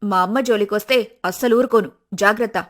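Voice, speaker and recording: A woman voicing a hot-tempered grown daughter in a cartoon story, sharp and scolding. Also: medium pitch, fairly quick, pitched below the performer's own voice, lightly acted. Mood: furious. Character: fiery grown daughter defending her mother.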